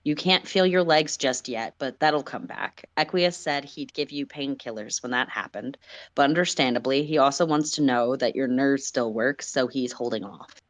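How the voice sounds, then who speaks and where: Very low-voiced woman reading a story. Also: normal speed. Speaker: story reader, livestream host. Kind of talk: dramatic fan fiction narration, second person.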